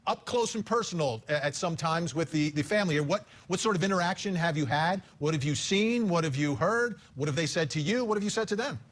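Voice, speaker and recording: A man anchoring live news and interviewing in a conversational, slightly halting manner. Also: on the high side, lively intonation, a bit bright.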